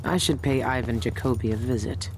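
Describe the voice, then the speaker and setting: A woman's voice: a bass voice, a little fast, background noise. An actress playing a watchful woman assassin in a video game, muttering tersely to herself. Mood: pensive.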